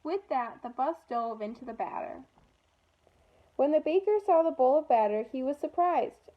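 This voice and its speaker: High-voiced woman reading a storybook. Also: animated, moderate pace, dull audio. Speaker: storybook reader at an environmental learning center. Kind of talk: expressive read-aloud of a children's storybook.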